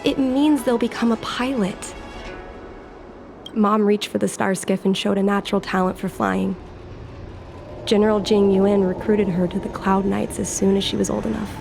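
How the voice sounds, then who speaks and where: A woman's voice: medium pitch, normal speed, creaky, lightly acted. A woman playing a spirited, earnest young fox-woman who dreams of piloting, in a video game. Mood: earnest, determined. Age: young adult. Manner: perky, expressive, earnest. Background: music.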